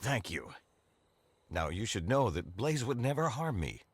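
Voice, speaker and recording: An actor playing an earnest, grateful uncle in scripted fantasy game dialogue. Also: male voice, lowish voice, very animated, unhurried, a little hiss or hum. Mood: relieved, apologetic. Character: grateful, earnest uncle freed from a fox curse.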